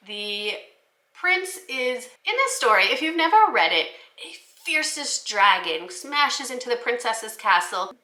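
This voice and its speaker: A woman teacher giving an instructional talk to fellow educators, explaining things clearly. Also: a little high, pushed and bright. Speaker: teacher presenting children's books for the classroom. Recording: roomy, echoey indoor sound.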